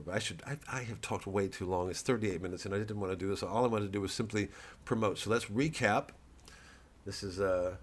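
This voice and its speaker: A comedian rambling through a sardonic, profane monologue full of digressions. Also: male voice, fairly low pitch.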